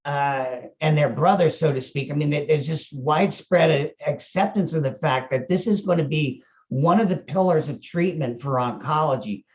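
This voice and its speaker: A man's voice: fairly high pitch, dull audio. An older American man giving conversational interview answers in a folksy, wry, colloquial way. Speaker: chief medical officer interviewed about his company.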